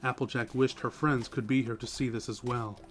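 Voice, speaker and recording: A man's voice: medium pitch, level tone, normal speed. A man reading scripted story narration as the narrator of a dramatic reading. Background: music.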